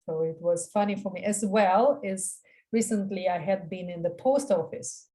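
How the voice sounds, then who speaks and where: Mellow-voiced Slavic woman telling stories. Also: medium pitch, moderate pace. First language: a Slavic language. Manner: animated storytelling with quoted voices; frank, swears casually. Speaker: guest. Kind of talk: casual interview chat.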